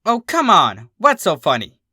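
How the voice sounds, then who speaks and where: A narrator voicing a brash, energetic young female pegasus pony, casual and excitable. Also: medium pitch, unhurried, slightly rough, no room sound, clean studio sound, pitched above the performer's own voice, exaggerated voice. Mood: insistent.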